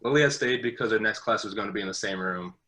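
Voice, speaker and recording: A man with a bright, forward tone and a fairly low pitch reads stage directions. It is scripted narration from a video-call table read. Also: fast talker.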